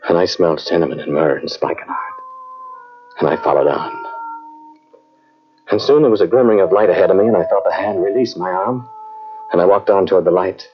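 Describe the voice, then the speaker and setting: Man playing a haunted scientist, low voice, halting brooding storytelling, radio mystery, dull old tape, music underneath. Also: natural voice. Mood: hushed dread. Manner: dramatic, halting, brooding delivery. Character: haunted male scientist recounting a terrifying tomb ordeal. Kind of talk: old-time radio drama acting, first-person narration.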